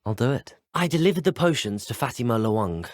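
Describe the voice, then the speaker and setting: A young man playing an earnest, composed student wizard in a video game, speaking in a measured, articulate, polite way. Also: mid-range voice, lively intonation, dry recording, studio quality, lightly acted. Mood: composed, matter-of-fact. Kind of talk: video game character dialogue.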